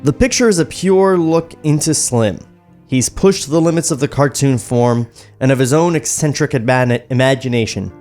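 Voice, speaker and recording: A man's voice: mid-range voice, normal speed, darkish tone. Man narrating a screenplay over music. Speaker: podcast host and script narrator. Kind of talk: reading screenplay directions aloud. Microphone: podcast studio microphone.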